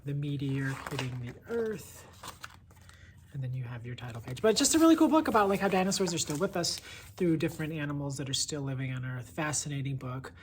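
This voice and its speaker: Woman, notably low voice, informal book-review vlogger, effusive and chatty, some background noise. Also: lively intonation, fairly quick. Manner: gushing, effusive, conversational. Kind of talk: casual, enthusiastic book review to camera.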